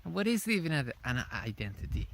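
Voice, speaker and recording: A man giving a casual, unscripted opinion monologue in a rambling, halting way, often repeating his phrases. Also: a little high, big pitch swings, vocal fry, a little hiss or hum. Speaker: vlogger.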